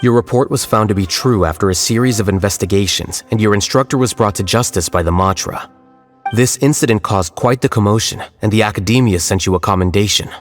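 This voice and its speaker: An actor playing a calm, composed male scholar speaking in a measured way. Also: on the low side, soft background music, natural voice. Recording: game soundtrack behind.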